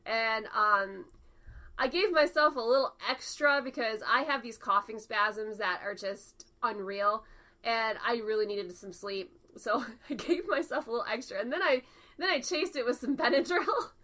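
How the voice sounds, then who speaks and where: Nasal-sounding woman cohost, bright voice, laughs a lot, gabby. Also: a little high, normal speed. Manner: laughs often, chatty storytelling. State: recovering from illness. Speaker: podcast co-host. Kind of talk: casual chat.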